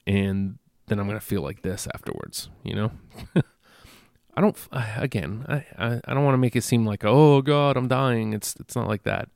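A stuffy-nosed man talks alone into a close microphone for his podcast, rambling and self-deprecating between fillers and false starts. His voice is low and full of gravelly fry, and the recording is dry. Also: normal speed, darkish tone, no echo. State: congested. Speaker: podcast host. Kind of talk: casual solo podcast monologue.

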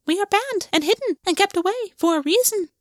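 Shrill chirping paper bird, taunting and riddling, dry close sound. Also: female voice, animated, a little fast, dry recording.

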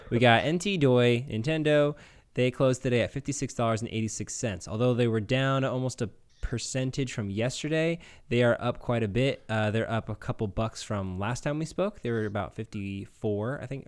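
A man co-hosting a casual, joking gaming podcast, talking in a clear, smooth voice. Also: mid-range voice, moderate pace. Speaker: podcast co-host reading a stock segment. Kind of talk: casual joking group chat about games.

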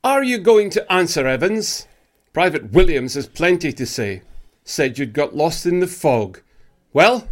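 A man's voice: on the high side, big pitch swings, a little slow. A man playing a stern, impatient senior British army officer in a radio play, brusque and scornful.

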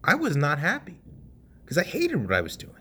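A young man in his twenties, hosting a podcast and telling a personal story in a casual, animated way, cursing freely and dropping at times to a hush. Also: on the high side, normal speed, slight background noise. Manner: animated, swears casually, swings from loud to hushed. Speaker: podcast host.

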